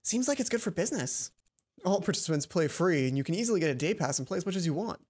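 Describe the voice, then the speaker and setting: A woman's voice: lowish voice, animated, quick pace, darkish tone, husky. A woman voicing a cheerful, admiring young male friend in a visual novel, with an encouraging manner. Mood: informative.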